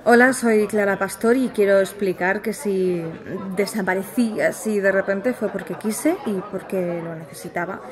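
A woman's voice: medium pitch, normal speed, few pauses, natural voice. An actress playing a reticent former researcher and young mother with an accent from Spain, speaking hesitantly and sincerely in a naturalistic audio drama interview. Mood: guarded, uneasy. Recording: busy café chatter behind. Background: café crowd.

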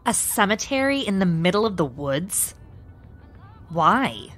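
A woman's voice: mid-range voice, very animated, unhurried, a bit bright. A woman playing a sardonic, aloof teenage girl in an audio drama. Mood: sceptical. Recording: music under the voice.

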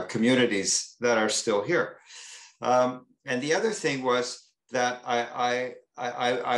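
A man speaking as an artist on a panel, talking in a halting way with many fillers and restarts. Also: mid-range voice, fairly bright tone, reverberant. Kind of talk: panel discussion. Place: gallery talk room.

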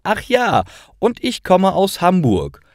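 Storybook girl of thirteen, played by a man: raised pitch, cheerful, new-kid friendliness, very swoopy. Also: wildly swooping pitch, slow, no echo, clean studio sound, exaggerated voice. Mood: friendly, chatty. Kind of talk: storybook character reading.

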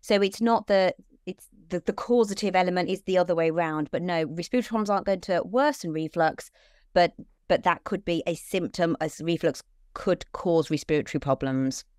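A British woman in her forties, a children's lung doctor, explaining clearly and steadily on a video call from a room at home. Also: lowish voice, a little fast, a little mellow, no room sound, clean studio sound. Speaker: paediatric respiratory consultant. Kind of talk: answering questions in an online talk.

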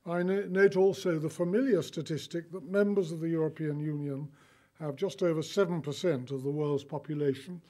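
A man's voice: fairly high pitch, fairly even intonation, normal speed, dark tone. An older man giving a formal prepared address from a lectern, reading from his notes. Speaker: keynote speaker. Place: conference hall, lectern. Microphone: lectern mic.

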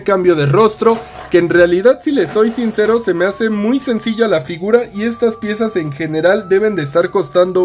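A man with a high voice and a Mexican Spanish accent talks through an unboxing in a chatty, opinionated way. He is heard off camera while his hands handle the toys. Soft music plays faintly behind him. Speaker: toy reviewer. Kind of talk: casual unboxing review.

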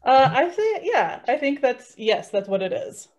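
A woman's voice: sits high, sing-song, unhurried, a little mellow. A woman chatting casually on a video call during a tabletop game, hesitant and thinking aloud as she goes. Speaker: player and guest author. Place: indoors, on a group video call.

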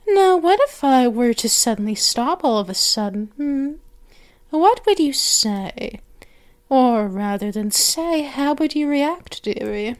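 A woman's voice: on the high side, darkish tone. A woman performing an intimate ASMR roleplay as a doting, teasing, possessive vampire mistress, cooing and sometimes slipping into whispers. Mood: teasing, commanding. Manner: slow, cooing, with whispered phrases and drawn-out words.

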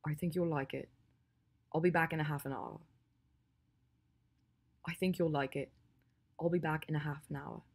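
A woman narrator plays a reserved man in an audiobook novel, low and quiet. He sounds weary yet caring, speaking in clipped, understated phrases. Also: fairly even intonation, moderate pace, creaky, pauses often. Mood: gently reassuring. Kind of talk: audiobook character acting.

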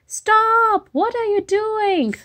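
A woman voicing a bossy, tough little storybook dinosaur with emphatic, drawn-out commands. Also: very high-pitched, lively intonation, pushed and bright, voice pushed up, exaggerated voice.

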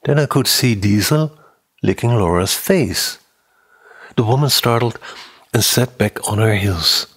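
A man in his sixties with a husky voice, giving a tense, dramatic audiobook reading of a mystery novel close to the microphone. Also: on the low side, unhurried, pauses often, fairly bright tone. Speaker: audiobook narrator. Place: indoor room, office or home studio. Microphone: close condenser microphone on a boom arm.

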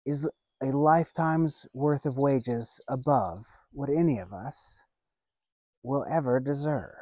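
A man in his thirties preaching a sermon outdoors by a lake, earnest and measured, with deliberate pauses. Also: mid-range voice, a little mellow, no echo. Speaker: pastor. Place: outdoors by a lake, seated on a bench.

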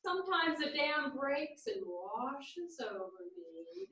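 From across an echoing theater comes a high, unhurried voice. It is a woman acting a chatty, dreamy widow with Zen leanings, in a staged play reading. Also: big pitch swings, pushed and bright, exaggerated voice. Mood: wistful. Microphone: far camera. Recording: echoey theater, distant camera microphone.

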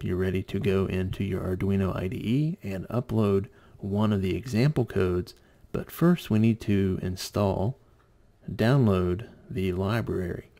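A man with a low, mellow voice walks through an informal tech tutorial. His speech has a gravelly creak, and he explains each step in plain terms. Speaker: tutorial presenter.

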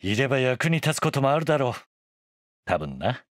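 A man's voice: medium pitch, big pitch swings, normal speed, loud music behind the voice, natural voice. A man playing an easygoing, authoritative middle-aged shogun in an anime voice drama, casual, commanding and wry.

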